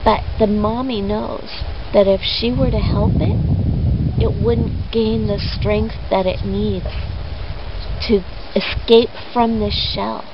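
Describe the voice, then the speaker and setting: Slow-speaking woman storyteller, slightly dull recording. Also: mid-range voice, steady tone. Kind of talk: expressive children's story retelling with simple explanation. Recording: dull lo-fi recording with background noise.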